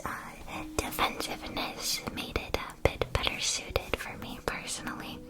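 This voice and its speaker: A woman playing a gentle, reassuring witch shopkeeper in an ASMR roleplay, whispering in a soft, soothing way. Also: a little high, poor audio.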